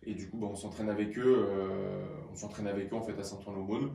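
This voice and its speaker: A man's voice: fairly low pitch, steady tone, a little fast, vocal fry, dark tone. A man answering conversationally as a sports guest in a radio interview. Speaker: arm-wrestling athlete, radio guest. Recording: roomy, slightly distant mic.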